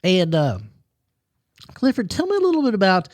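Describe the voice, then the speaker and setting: A man hosting a local radio interview show in a small studio, asking questions haltingly with many "uh"s and repeating the station ID. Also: high-pitched, huge pitch swings, no room sound.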